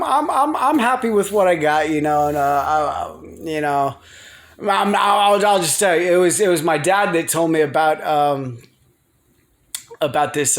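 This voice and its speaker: An American man speaks in a somewhat high-set voice. He rambles casually as a video blogger, hesitating often. He repeats words and circles back to what he was saying. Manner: halting, repetitive, trailing off and circling back.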